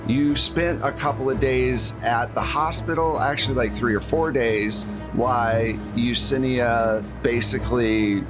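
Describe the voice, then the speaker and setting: A man with a slightly higher than average voice hosts a tabletop game as game master. He talks casually, telling the story so far as a spoken recap, with music playing clearly behind him. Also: fairly even intonation, a little slow, hardly any pauses.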